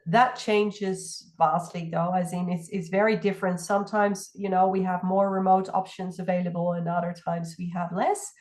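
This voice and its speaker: Congested raspy woman, kinda low voice, explaining stuff in an online info session. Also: level tone, moderate pace, few pauses, a little mellow. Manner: talks at length in long explanatory runs. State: congested, hoarse from illness. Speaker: partnerships staff member.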